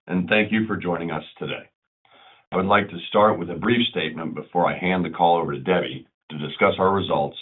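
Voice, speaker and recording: Deep-voiced male executive on a conference line. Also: husky. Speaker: company chief executive. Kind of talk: prepared corporate earnings remarks, read out. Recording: muffled conference phone line.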